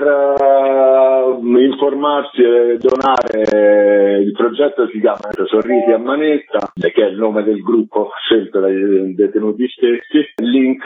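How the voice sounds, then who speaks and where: Husky guy doing an informal interview, explains things kinda hesitantly, sounds like a phone call. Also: fairly low pitch. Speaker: interviewed project curator. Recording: tinny phone-like line.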